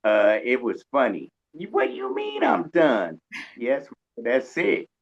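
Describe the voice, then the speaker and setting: A woman co-hosting an interview with casual, animated storytelling, on a dull lo-fi recording. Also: a bass voice, takes it slowly, vocal fry. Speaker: interviewer, co-host. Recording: dull lo-fi sound.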